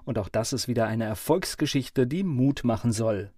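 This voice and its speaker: Man, podcast presenter, scripted intro, mellow medium-pitched voice, dry sound. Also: normal speed, dry recording.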